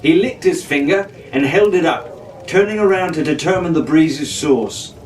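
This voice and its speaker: A man's voice: a little high, normal speed, a little mellow. A man narrating a pirate adventure story in a theatrical, in-character way, as a storybook reader. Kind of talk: scripted dramatic narration reading a children's pirate novel. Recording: roomy, distant mic with faint noise.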